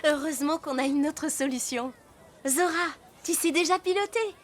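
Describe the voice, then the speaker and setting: A woman's voice: high voice, moderate pace, background noise, lightly acted. A woman voicing a spirited, teasing young kid in a French children's cartoon. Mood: teasing, excited. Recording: loud music under the voice.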